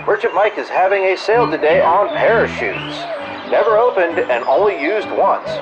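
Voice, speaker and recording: A man's voice: sits high, animated, moderate pace. A man playing a wisecracking jungle cruise radio host, reading mock-official comedy bulletins in old-time announcer style with dry delivery. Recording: muffled lo-fi radio sound over music.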